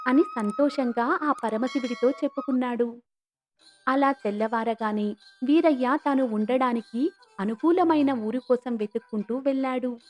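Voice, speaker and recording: A woman's voice: high-pitched, normal speed, darkish tone. A woman reading scripted moral-story narration in a storytelling role, over music. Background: background music.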